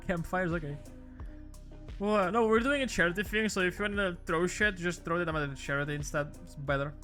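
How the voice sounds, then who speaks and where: A fairly high, bright male voice, joking and swearing freely through a relaxed live game stream. He is from Portugal and drops in silly meowing noises while he talks. Faint music plays underneath. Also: moderate pace. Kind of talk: casual gaming stream chat.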